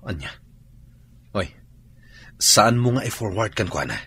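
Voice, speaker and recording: Guy doing a low husky ilocano cheating husband, voice swings a lot. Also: expressive, unhurried, a bit bright, slight background noise. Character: unfaithful young husband. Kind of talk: radio drama acting.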